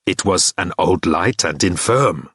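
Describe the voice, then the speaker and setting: A man voicing a huge horned male thunder god with a deep, grave, formal way of speaking in an audiobook. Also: lively intonation, measured pace, a bit bright, husky, dry recording, studio quality, heavily acted. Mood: solemn. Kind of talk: audiobook character voice.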